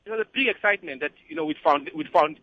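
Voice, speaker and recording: A man with a South African accent calling a radio show, giving his opinion in a halting way and repeating words as he goes. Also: on the high side, lively intonation, a little hiss or hum. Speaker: phone-in caller. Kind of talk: conversational opinion. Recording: dull band-limited call-in line.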